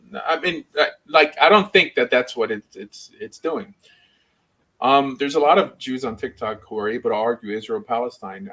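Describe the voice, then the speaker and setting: A man's voice: mid-range voice, a bit bright, poor audio. A man speaking casually as a rabbi and livestream host, rambling and opinionated in an informal way. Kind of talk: casual livestream chat and debate.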